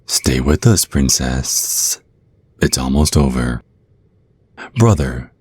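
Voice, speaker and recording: A man playing a sinister vampire naga kidnapper in an ASMR roleplay, slow and deliberate, with menacing politeness and a husky voice. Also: very deep voice, darkish tone, dry recording, heavily acted. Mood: dark relish.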